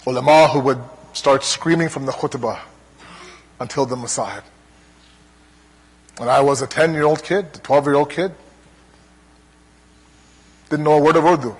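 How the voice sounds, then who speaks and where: A man from Toronto with a Canadian accent preaching to a congregation in an earnest, rhetorical manner, pausing between deliberate parallel phrases. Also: fairly high pitch, breathy edge. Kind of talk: religious lecture to a congregation. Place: large hall, speaking to a gathering. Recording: long hall reverb.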